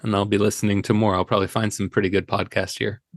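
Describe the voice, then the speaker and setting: A man's voice: fairly low pitch, little pitch movement, fast talker, dry recording, clean studio sound. An American man chatting casually and enthusiastically as a podcast guest. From United States. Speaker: podcast guest, audio drama creator. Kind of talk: casual chat.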